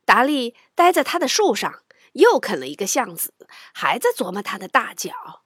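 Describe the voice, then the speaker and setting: High, animated woman storyteller. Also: normal speed. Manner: dramatic, sometimes hushed storytelling. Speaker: narrator. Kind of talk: expressive children's story narration. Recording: music behind the voice.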